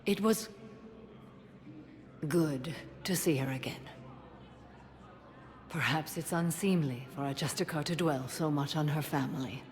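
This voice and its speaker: A woman's voice: sits low, a little slow, pauses often. A woman playing a solemn, composed alien warrior in a video game, speaking formally and with restraint. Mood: solemn, grateful. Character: female alien Justicar warrior, solemn, composed, devoted mother. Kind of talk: video game cutscene dialogue.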